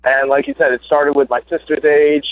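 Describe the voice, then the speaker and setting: A man's voice: fairly high pitch, fairly even intonation, brisk, dry recording. A young man calling in as a guest, talking eagerly with lots of fillers and restarts. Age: young adult. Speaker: guest, former high school basketball player. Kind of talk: casual phone interview. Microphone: phone call. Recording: tinny phone line.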